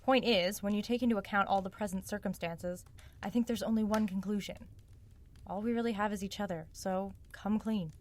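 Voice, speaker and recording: An actress playing a sharp, sarcastic young woman who probes for answers in a naturalistic audio drama. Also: mid-range voice, fairly even intonation, fairly quick, natural voice. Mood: frank, challenging. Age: young adult. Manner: wry, probing, sardonic.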